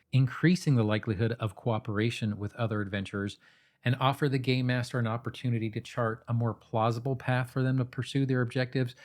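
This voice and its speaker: A man narrating a scripted tabletop roleplaying explainer in a measured, instructive way, with casual asides, speaking directly to the listener. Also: medium pitch, a little mellow. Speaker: host of a tabletop roleplaying advice channel.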